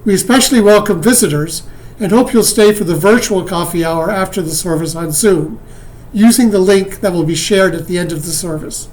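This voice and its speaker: A man, serving as worship associate, reading the welcome and announcements slowly. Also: sits high, a little mellow, low-quality recording.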